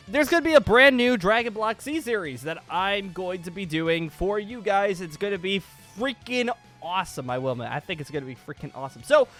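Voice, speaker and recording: A man hosting a casual, excitable gaming announcement vlog in a rambling, emphatic, informal way. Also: sits high. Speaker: YouTube host announcing a new series. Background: music.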